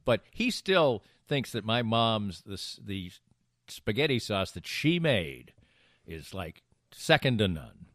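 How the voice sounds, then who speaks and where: A man's voice: on the low side, big pitch swings, slow pace, a bit bright, no echo, studio quality. A middle-aged man in casual banter, rambling and emphatic, often repeating himself as he goes. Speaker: radio show and podcast host.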